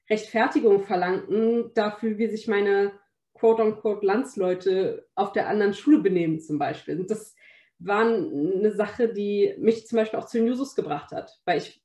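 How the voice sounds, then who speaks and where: Mellow young woman in a roomy space. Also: mid-range voice. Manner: earnest, searching for words. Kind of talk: reflective personal account in a discussion. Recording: roomy, echoing sound.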